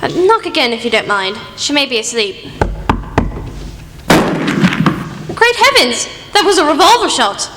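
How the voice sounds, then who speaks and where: A woman's voice: high-pitched, very animated, fairly quick. A woman playing a proper, wry female doctor, a detective's wordy sidekick in a radio play. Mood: amused, startled. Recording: reverberant hall, faint music.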